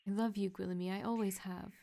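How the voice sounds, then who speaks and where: A woman's voice: mid-range voice, fairly even intonation, fast talker, warm tone, lightly acted. A woman voicing a desperate, broken young woman who pleads through tears. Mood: tearful pleading. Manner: pleading, tearful.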